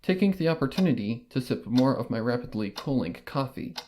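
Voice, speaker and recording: A man giving a first-person audiobook narration of a fan fiction story, in a measured, even storytelling manner. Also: mid-range voice.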